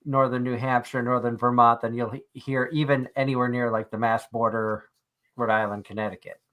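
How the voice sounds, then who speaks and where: A man with a Boston accent, co-hosting a casual live-stream chat and telling lively stories about accents. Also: medium pitch, fairly quick. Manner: animated storyteller, mixes in accents.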